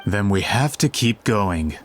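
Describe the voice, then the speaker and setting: An actor playing an impatient, skeptical gentleman publisher in a video game, formal and pompous. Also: on the low side, expressive, a little slow, faint music behind. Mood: probing.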